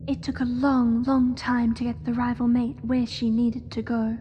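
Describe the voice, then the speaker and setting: A woman voicing a devoted young spider hatchling in a horror audio drama, telling its story in a hushed, measured, eerily innocent way. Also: on the high side, level tone, muffled recording, slight background noise, close to an everyday voice. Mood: quiet, devoted.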